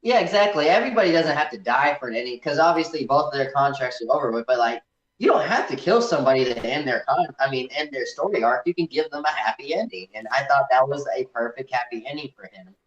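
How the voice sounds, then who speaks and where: A man's voice: mid-range voice, animated, fast. A man co-hosting a casual film review chat, speaking in an informal, enthusiastic way. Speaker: podcast host. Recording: echoey room, slightly dull sound.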